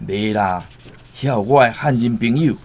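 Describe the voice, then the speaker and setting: An actor playing a stubborn, reasoning older brother with a Taiwanese accent and a measured, admonishing manner in a radio drama. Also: male voice, mid-range voice, a little mellow, lightly acted. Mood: assured.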